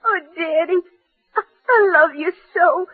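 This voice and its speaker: A woman's voice: very high-pitched, a little slow, dry recording. A woman playing a possessive young daughter who feigns sweet innocence, in old-time radio drama style. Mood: tearful, clinging. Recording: tinny old radio recording.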